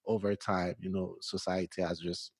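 A man from Nigeria with a Nigerian accent softened toward American, interviewing a guest in a rambling, casual way. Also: sits low, little pitch movement, normal speed, breathy edge, dry recording. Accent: Nigerian English, partly Americanized. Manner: chatty, rambling, many fillers.

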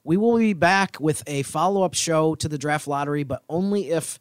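A man co-hosting a sports podcast, chatting casually in a measured, explanatory way with some hesitation. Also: fairly high pitch, clear, resonant tone.

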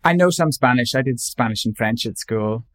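A man from Northern Ireland, a translator, giving measured, reflective interview answers and now and then restarting a phrase. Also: medium pitch, animated. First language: English.

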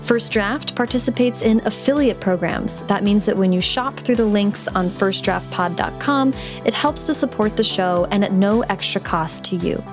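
A woman's voice: mid-range voice, over music. Upbeat chatty woman podcast host. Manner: upbeat, chatty, quick bursts of speech. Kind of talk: scripted intro then casual interview chat.

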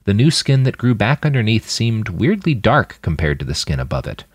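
A man's voice: on the low side, very animated, normal speed, breathy edge, no echo. A man reading a story aloud as scripted audiobook narration. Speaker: author reading his fiction podcast.

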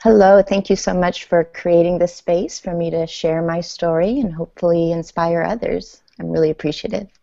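Female author guest, thirties, native Farsi, very low mellow voice, recounting her life story. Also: fairly even intonation, moderate pace, gravelly, hardly any pauses, dry recording. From Iran, then the United States. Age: 30s. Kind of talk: personal storytelling in an interview. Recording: dull lo-fi audio.

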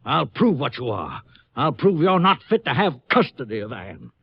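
A husky, widely swinging male voice on a dull old radio recording. a desperate, defiant man, righteous and emphatic in 1950s melodrama style. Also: medium pitch, sing-song, moderate pace. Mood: defiant, indignant. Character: desperate, defiant male car dealer being blackmailed.

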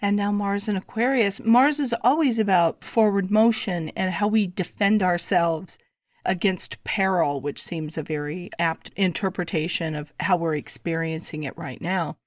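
Slightly croaky woman astrologer chatting. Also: on the low side, no room sound, studio quality. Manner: chatty, explanatory, quick bursts. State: fighting a cold, slightly croaky. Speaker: astrologer, podcast co-host. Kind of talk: casual podcast chat.

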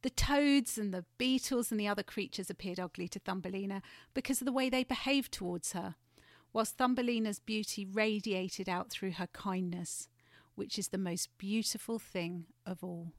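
Woman, storybook narrator, gentle expressive delivery, mid pitch, dry close sound. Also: normal speed, no room sound. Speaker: guest storyteller.

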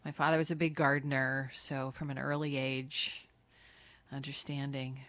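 Woman pastor, very low voice, thoughtful and halting. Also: flat delivery, fairly bright tone. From upstate New York. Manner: hesitant, many fillers, reflective. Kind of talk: casual interview chat.

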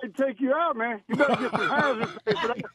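A man's voice: very high-pitched, fast, a loud crowd. A man calling in to a radio game, joking in a folksy way. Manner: jokey, folksy. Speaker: caller. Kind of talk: phone-in game chat.

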